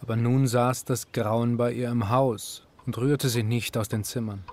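Ein Schauspieler als ernster Erzähler eines psychologischen Hörspiels, der literarisch und gemessen spricht. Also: male voice, mid-range voice, little pitch movement, darkish tone, a little hiss or hum, natural voice. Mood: grave, measured. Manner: measured, literary, serious. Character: grave male narrator of a psychological radio drama. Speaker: narrator. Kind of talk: literary radio drama narration. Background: music.

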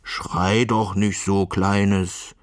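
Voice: deep voice, flat delivery, drawn-out words, dry recording, studio quality. A storyteller voicing placid, timid meadow sheep who care only for grass, bleating and munching as they talk. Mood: unbothered. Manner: bleating, munching with full mouth.

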